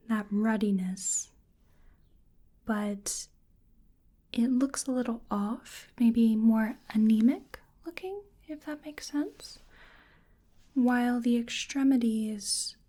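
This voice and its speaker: Female ASMR performer as a curious, polite examiner: breathy half-whisper, mellow tone, gentle and musing, unhurried. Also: fairly high pitch. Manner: gentle, hesitant, musing delivery. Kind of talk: soft-spoken ASMR roleplay.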